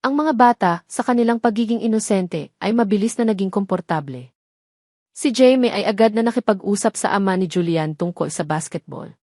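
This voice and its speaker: A woman's voice: mid-range voice, fairly quick, a little mellow, no echo. Woman narrating a romantic drama, very dry sound. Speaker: storyteller.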